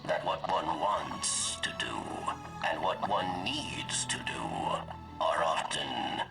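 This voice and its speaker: A man playing an old, regretful alien elder in a video game, with a hissing, gravelly rasp and halting, formal speech. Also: deep voice, music in the background. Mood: grave, wistful. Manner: halting, deliberate, formal third-person speech. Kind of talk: dramatic video game cutscene acting. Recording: distant game audio, faint background noise.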